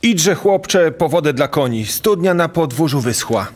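Lively, bossy innkeeper played by a man. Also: fairly high pitch, measured pace. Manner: commanding. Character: crafty, bossy male innkeeper.

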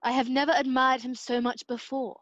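Casting: woman playing resolute, formal English lady, highish voice, close dry slightly dull recording, period radio drama. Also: little pitch movement, a little fast, a bit bright, no echo. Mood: admiring, firm. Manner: earnest, formal. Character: principled, devoted English lady, resolute wife. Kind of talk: radio play acting.

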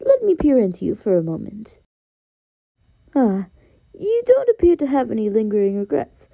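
A woman voicing a drowsy, cryptic bug confessor from a video game, mysterious and measured. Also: fairly high pitch, huge pitch swings, vocal fry, mellow, no echo, exaggerated voice. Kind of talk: fan dub of video game dialogue.

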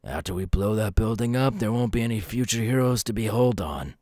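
Casting: male actor as a young villain recruiter for ASMR roleplay, low voice, dry close sound, deliberate and coolly menacing. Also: expressive, darkish tone, no echo, lightly acted. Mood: sinister, calculating. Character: young adult male supervillain recruiter, cool, menacing, sly.

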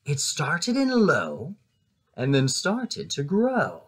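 A middle-aged man giving an expressive children's read-aloud, with dramatic, deliberate phrasing, recorded at home in a living room. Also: fairly high pitch, breathy edge. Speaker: storybook reader.

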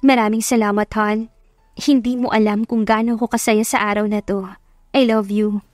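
A woman's voice: a little high, flat delivery, normal speed, a little mellow, no room sound, clean studio sound, faint music behind, lightly acted. A woman voicing an affectionate, playful young wife in love, in a romance audiobook. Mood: adoring, grateful.

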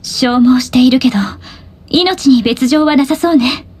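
A woman's voice: sits high, a little slow, darkish tone, breathy edge. An actress playing a composed, serious teenage schoolgirl demon fighter in an anime-style video game, cool and matter-of-fact. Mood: calm.

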